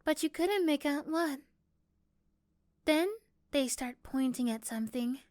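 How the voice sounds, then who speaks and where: A woman's voice: high-pitched, measured pace, darkish tone, no room sound, clean studio sound. A woman reading scripted second-person story narration for a roleplay. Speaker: narrator and performer.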